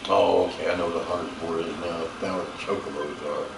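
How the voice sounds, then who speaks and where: A man's voice: low voice, fairly even intonation, fast talker, creaky. A man casually commentating a game he is playing, muttering wryly to himself as he streams. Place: room at home while live-streaming a console game. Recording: echoey room, distant mic, noisy background.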